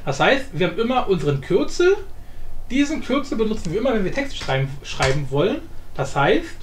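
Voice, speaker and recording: A man presenting a software tutorial casually, with informal, jokey asides and frequent self-interruptions. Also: high-pitched, big pitch swings, fairly quick. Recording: roomy echo, faint background noise.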